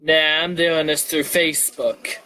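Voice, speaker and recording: Higher-pitched man chatting on a livestream. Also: little pitch movement, measured pace, bright, forceful tone, low-quality recording. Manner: rambling, muttering to himself. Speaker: live streamer. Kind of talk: casual livestream chat.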